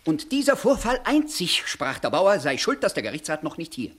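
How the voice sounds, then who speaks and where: An actor playing a sly, needling, officious court clerk, declaiming pointedly with a husky voice in a 1960s German radio verse comedy. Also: male voice, on the high side, moderate pace, full character voice. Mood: ominous reporting. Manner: pointed, mocking, animated declamation.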